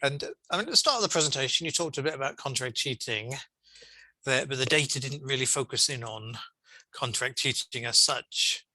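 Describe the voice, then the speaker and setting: A man's voice: mid-range voice, a little fast, pushed and bright, no room sound, poor audio. A man hosting an online conference session, giving encouraging, rambling feedback in an informal way. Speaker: conference host and session chair. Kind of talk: informal chairing and feedback over video call. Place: online video call.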